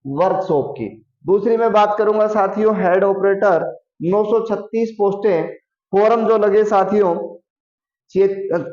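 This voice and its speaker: A man's voice: high-pitched, darkish tone. A man explaining things to students as a coaching teacher, repeating key figures for emphasis. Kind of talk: explanatory lesson addressed to students. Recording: muffled, echoey room recording.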